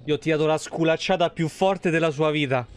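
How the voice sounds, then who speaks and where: A man's voice: fairly high pitch, brisk, smooth. A man, giving casual, playful and slangy live commentary on a game. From Italy. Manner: playful, slangy, mock exclamations. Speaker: game livestreamer. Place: small indoor room, streaming setup.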